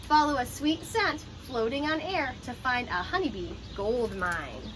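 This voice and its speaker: A woman reading a children's picture book aloud like a teacher, with expressive, instructive delivery. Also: sits high, measured pace, background noise. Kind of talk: children's storybook read-aloud with explanatory asides.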